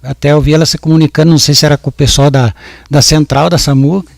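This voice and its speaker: A man in his fifties testifies as a witness in court. His voice sits a little high for a man. He talks hesitantly and often restarts or repeats words. The sound is very dry and close. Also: fairly even intonation, fast talker, gravelly, darkish tone, dry recording. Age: 50s. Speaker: witness at a jury trial.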